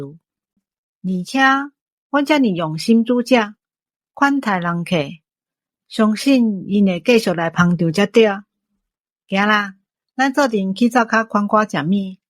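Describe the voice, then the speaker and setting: A woman's voice: medium pitch, lively intonation, unhurried, no echo, close to an everyday voice. Woman acting determined Taiwanese shopkeeper lady, dry sound. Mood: reassuring. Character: friendly, determined woman shopkeeper joining an adventure. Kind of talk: audio drama acting.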